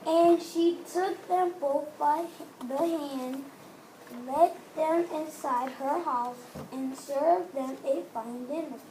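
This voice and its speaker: Female fairy-tale narrator, unhurried and halting, on the high side, in a noisy recording. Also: mellow. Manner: halting, repeats and corrects phrases. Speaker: storyteller. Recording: noisy room recording with hiss.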